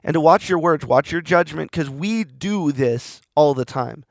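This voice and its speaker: A man's voice: a little high, animated, a little slow, gravelly, no room sound, studio quality. A man hosting a podcast, talking alone in a rambling, earnest way while he is losing his voice. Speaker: podcast host. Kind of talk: reflective solo monologue.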